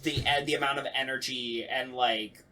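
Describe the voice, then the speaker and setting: A man hosting a casual podcast discussion, talking in a rambling, animated, sweary way. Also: mid-range voice, moderate pace, low-quality recording. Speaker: podcast host.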